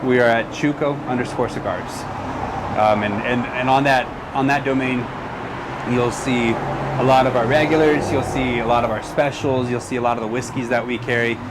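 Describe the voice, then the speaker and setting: A man's voice: mid-range voice, fairly even intonation, normal speed, heavy background noise. A cigar lounge owner with an El Paso Texan accent, speaking earnestly in a casual interview and often repeating words as he starts a sentence.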